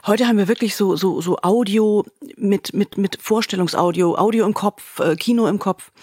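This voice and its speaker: Husky-voiced woman chatting effusively. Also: mid-range voice, moderate pace, darkish tone, no echo, studio quality. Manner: effusive, repeats words for emphasis. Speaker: podcast co-host, radio veteran. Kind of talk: casual, chatty podcast review.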